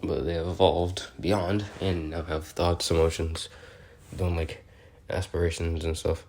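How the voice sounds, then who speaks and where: A man's voice: sits low, flat delivery, measured pace, creaky, darkish tone. A man playing an awkward, curious, bookish researcher recording an audio log, explaining in a hesitant, rambling, politely apologetic way. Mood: curious, musing. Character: male researcher logging species notes, awkward, curious, bookish. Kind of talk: in-character audio log, semi-improvised explaining.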